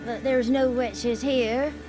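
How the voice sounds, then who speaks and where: A woman's voice: on the high side, steady tone, slow pace. A woman playing a matter-of-fact, impudent peasant orphan child in a video game, with blunt rustic speech and music behind. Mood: insistent. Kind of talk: video game character acting.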